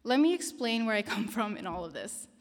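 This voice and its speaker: A young woman from Denmark giving an earnest, personal pre-sermon talk with measured pauses, in a mosque prayer hall. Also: mid-range voice, big pitch swings. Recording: reverberant hall.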